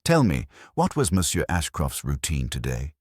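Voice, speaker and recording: A man narrating an audiobook speaks the lines of a courteous elderly male detective, asking gentle, probing questions with pauses. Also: rumbling low, huge pitch swings, slightly rough, no echo, clean studio sound, exaggerated voice.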